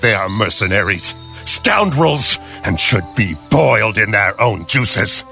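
An actor playing a brawny, loyal Chinese seaman, gruff and wry, bluntly delivered in a game cutscene. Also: on the low side, very animated, unhurried, heavily acted. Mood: blunt, scornful. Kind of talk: animated game cutscene acting. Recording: game audio with loud music behind.

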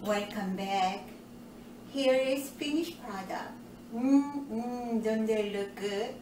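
Slow older woman hosting a kitchen show. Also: fairly high pitch, noisy background. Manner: cheerful, explains step by step with nutrition asides. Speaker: cooking show host. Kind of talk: home cooking demonstration. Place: home kitchen indoors. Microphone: far camera. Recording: roomy kitchen sound from a distance.

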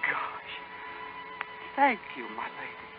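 A man playing a small, kindly cricket in 1930s radio drama, folksy and halting, with a light, plaintive voice. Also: medium pitch, big pitch swings. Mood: grateful wonder. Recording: muffled 1930s radio broadcast, music behind.

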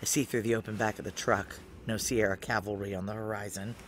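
Hard-edged woman on the run, intense first-person noir sci-fi drama, halting urgency, noisy vehicle ambience. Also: rumbling low, fairly even intonation. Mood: anxious. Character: tough, desperate female fugitive narrating a sci-fi heist.

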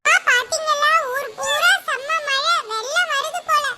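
Woman doing a squeaky whiny little kid. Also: measured pace. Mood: pleading. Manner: pleading, whining, cheering. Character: excitable young boy and girl, whiny and greedy. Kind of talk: family comedy skit. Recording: loud background noise.

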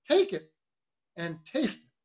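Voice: medium pitch, sing-song, takes it slowly, a little mellow, slightly rough, exaggerated voice. A reader voicing a bossy, mocking whaling-ship officer in an audiobook, questioning with teasing mock solemnity. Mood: bossy, scolding. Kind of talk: literary audiobook character reading.

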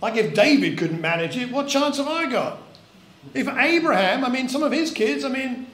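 A man's voice: very high-pitched, expressive, normal speed, a bit bright. An older man preaching a sermon in church, earnest and reflective, often asking rhetorical questions. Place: church hall. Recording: echoey church recording.

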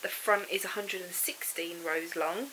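A woman's voice: on the low side, steady tone, measured pace, bright, forceful tone, a little hiss or hum, poor audio. A woman, congested with a cold, chatting casually and rambling with many ums about her knitting, on a sofa at home. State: congested with a cold and sore throat. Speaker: knitting vlogger. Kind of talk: casual chat to camera. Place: living room sofa indoors.

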